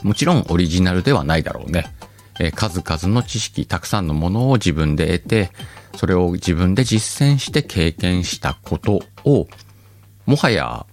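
A man's voice: deep voice, animated, moderate pace, soft background music. A man hosting his own talk show, musing aloud in a loose, self-mocking way and chuckling at his own slips, with a lilt. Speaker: podcast host. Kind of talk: casual solo radio-style talk.

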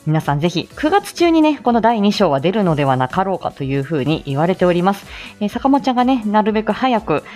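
Casting: female livestream host, bubbly and giggly, very lively intonation, casual chat over faint music. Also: mid-range voice, fast talker. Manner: bubbly, giggly, self-deprecating. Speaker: host of a live morning audio stream; speech-language therapist. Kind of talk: casual chatty livestream, greeting listeners.

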